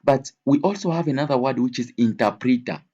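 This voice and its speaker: A man's voice: medium pitch, brisk, warm tone, husky, muffled recording. A man teaching an English vocabulary lesson in a didactic way, repeating key words and switching between languages.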